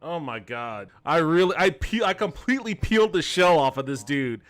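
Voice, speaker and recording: A man's voice: fairly high pitch, animated, moderate pace, a bit bright, a little hiss or hum. A man doing casual gameplay commentary as a streamer, talking in an amused, incredulous, sweary way. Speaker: video game streamer and podcast host.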